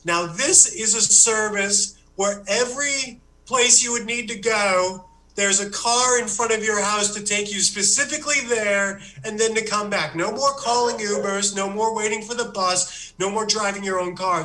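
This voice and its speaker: A man voicing a rambling, self-pitying Australian man who gives a comic business pitch in a put-on Australian accent. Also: sharp tone, low-quality recording. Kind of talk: comedic monologue.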